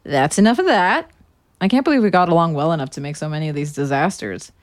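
A woman's voice: sits low, animated, quick pace. An American woman in her thirties playing a sardonic woman narrating a confessional audio diary, dryly sarcastic and casually profane. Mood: amused. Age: 30s.

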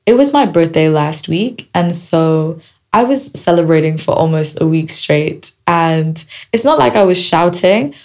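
A woman hosting her own podcast, her voice raspy and dry from a week of celebrating, talking in a chatty, reflective way with small jokes. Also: low voice, animated, unhurried, darkish tone. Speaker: podcast host. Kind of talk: casual solo podcast talk. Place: seated indoors at a microphone.

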